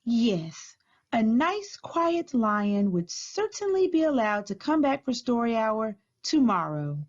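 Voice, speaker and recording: Woman reader as stern female librarian, proper, commanding, expressive pitch swings, muffled recording, storybook character. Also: heavily acted. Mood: gracious, approving. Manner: firm, commanding, measured.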